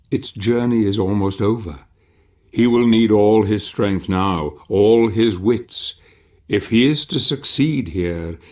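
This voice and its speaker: An actor playing a solemn narrator of a dark fantasy game, speaking in a grave, measured, portentous way. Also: male voice, fairly low pitch. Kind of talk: scripted dramatic narration.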